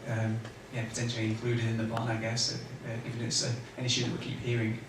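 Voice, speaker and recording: A man, a municipal planner, answering at a community meeting in a halting way with many fillers. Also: medium pitch, completely flat delivery. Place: community hall. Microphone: distant room mic. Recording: echoey hall from far off, noisy.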